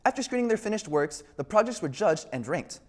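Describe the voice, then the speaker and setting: Brief: teen male news anchor, slightly high voice, measured scripted reads. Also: big pitch swings. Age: teens.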